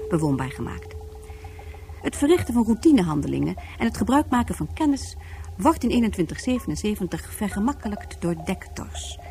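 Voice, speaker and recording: A woman's voice: mid-range voice, mellow. A woman narrating a science fiction radio drama from a script.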